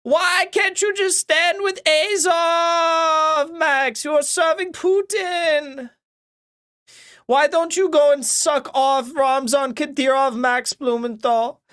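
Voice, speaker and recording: A man parodying a shrill, sarcastic pro-war woman activist with a put-on Slavic accent. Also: steady tone, takes it slowly, pushed and bright, full character voice. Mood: shrieking.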